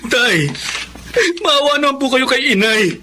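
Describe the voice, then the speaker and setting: A man's voice: very high-pitched, expressive, a little slow, sharp tone, a little hiss or hum, heavily acted. A man acting as an earnest, indignant young adult son in a Filipino radio drama, speaking respectfully and pleadingly. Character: earnest, indignant young son defending his wronged mother.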